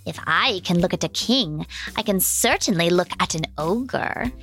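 A woman's voice: fairly low pitch, lively intonation, measured pace, fairly bright tone, husky, faint music behind, exaggerated voice. A woman voicing a bold, wily male storybook cat with a theatrical, commanding and sly manner. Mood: cocky, insistent. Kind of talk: children's storybook character voice.

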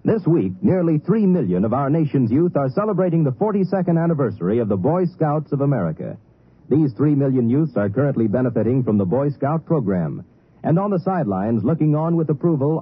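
A man's voice: medium pitch, breathy edge. A man reading a scripted 1950s radio public service announcement in a formal, earnest announcer's style. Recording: very narrow, tinny old radio broadcast.

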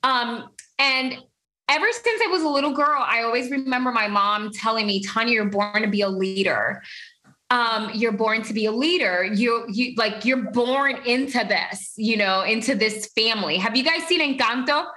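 Bright-voiced woman sharing her story. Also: a little high, moderate pace. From Puerto Rico. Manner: earnest, animated storytelling with laughs. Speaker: guest. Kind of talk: personal testimony in an interview.

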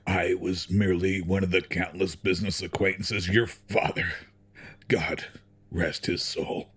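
An actor playing a sickly, wistful old corporate chairman with a frail husky voice and halting, reflective delivery in an audio drama. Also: deep voice, steady tone, fairly bright tone, exaggerated voice. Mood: wistful, weary. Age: older. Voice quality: husky, frail, with throat clearing and coughs.

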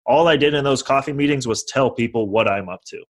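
A man's voice: medium pitch, a little fast. A young man from California answering interview questions confidently, explaining how he got started and acting out the conversations he had. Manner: confident, explanatory, acts out quoted dialogue. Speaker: guest real estate investor.

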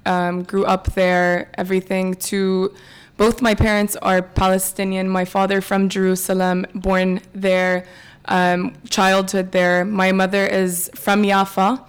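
A woman from California answers interview questions in a smooth, clear voice that stays even and level in pitch. She is soft-spoken and leans on fillers as she talks. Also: a little slow. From United States, California. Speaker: podcast guest. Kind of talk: casual interview talk.

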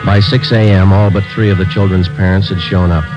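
An actor playing a stoic American police sergeant, speaking in terse, deadpan 1940s radio style. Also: male voice, low-pitched, brisk, lightly acted. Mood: flat, matter-of-fact. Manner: deadpan, matter-of-fact, terse. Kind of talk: 1940s radio acting, clipped case narration. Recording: muffled vintage radio recording, music behind.

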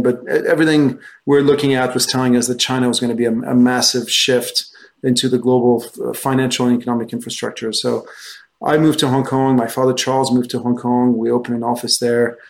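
Middle-aged Frenchman answering interview questions. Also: medium pitch. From France. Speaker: guest, investment research executive.

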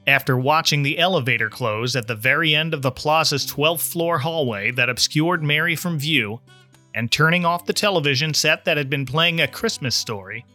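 A man's voice: fairly high pitch, sharp tone. A man, a podcaster, reading in a scripted storytelling style. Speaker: podcaster, guest reader. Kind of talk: podcast promo and audiobook-style narration.

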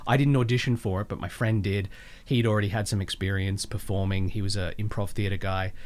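A man's voice: on the low side, steady tone, brisk, a little mellow. A man with an Australian accent telling stories casually as an interview guest, wry and jokey, often acting out imagined bits of dialogue. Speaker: podcast guest, voice actor. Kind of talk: casual conversational storytelling.

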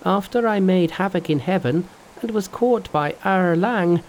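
A narrator voicing a boastful, fearless male monkey king in a storybook reading, loud and mocking. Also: medium pitch, a little slow, slight background noise, voice pushed up, close to an everyday voice.

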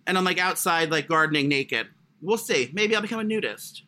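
A man with a high voice co-hosting a casual comedy podcast, crude and self-deprecating in his humour. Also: lively intonation, brisk, bright, forceful tone. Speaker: podcast co-host. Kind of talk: casual comic chat.

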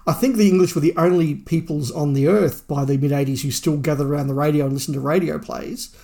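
A man's voice: a little high, fairly even intonation, fast talker, vocal fry, mellow. An Australian man in his forties, a podcast co-host giving his opinions in a casual, conversational way. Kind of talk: casual chat, discussion.

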